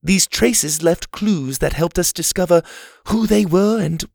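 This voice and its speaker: A man's voice: sits high, gravelly, darkish tone, no echo, clean studio sound, voice pushed up, heavily acted. A man doing a genteel older woman camp director. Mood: formal, welcoming. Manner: announcing, slow and formal.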